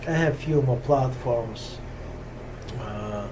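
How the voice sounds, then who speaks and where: A man from Russia speaking English with a Russian accent, talking slowly and hesitantly with many pauses and fillers in a casual spiritual talk to camera. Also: medium pitch, background noise. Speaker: yoga teacher. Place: top-floor room at home on a hot day.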